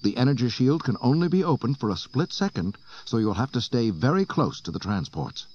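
A man voicing a brisk, urgent young woman who leads rebels, briefing pilots firmly in an audiobook on a hissy cassette. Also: medium pitch, sing-song, a little mellow, pitched above the performer's own voice. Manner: firm, earnest briefing. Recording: dull cassette transfer with hiss.

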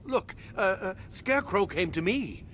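A man's voice: high-pitched, big pitch swings, measured pace. An actor playing a nervous, pleading male executive in a video game, stammering in panic. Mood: panicked.

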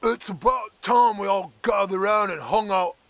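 High-pitched, chirpy British peasant. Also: male voice, moderate pace, a bit bright, no room sound. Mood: cheerfully resigned. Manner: chirpy, polite, theatrical. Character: cheerful, timid, overly polite young male medieval peasant. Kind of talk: video game character voice lines.

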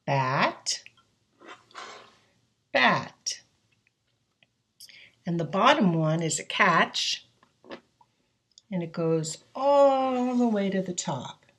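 A woman teaching English reading, walking slowly through a worksheet with drawn-out words, thinking-aloud hums and frequent praise. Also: medium pitch, very animated, a bit bright. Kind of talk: slow, encouraging worksheet walkthrough.

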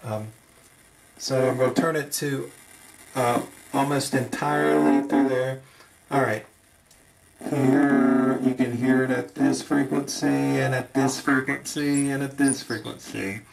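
Man, informal tutorial presenter, explanatory, thinking aloud, hands-on demo, medium pitch. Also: flat delivery, measured pace, creaky, crowd noise, low-quality recording. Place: at a desk indoors.

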